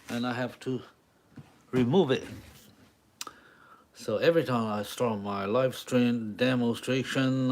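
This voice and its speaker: Slow-talking man with a Taiwanese accent. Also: mid-range voice, darkish tone, a little hiss or hum. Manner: halting, repeats short phrases, talks to viewers. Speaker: live-stream drawing artist and host. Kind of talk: casual live-stream chat with drawing demonstration.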